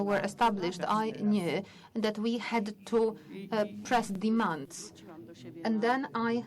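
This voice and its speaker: Older woman, conference speaker, hesitant testimony with many restarts, hall audience audible. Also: mid-range voice. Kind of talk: personal testimony speech.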